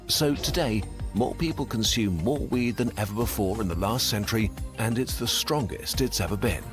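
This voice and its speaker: A man giving scripted explainer narration with a lively, clear delivery. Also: on the low side, moderate pace. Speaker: educational video narrator. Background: background music.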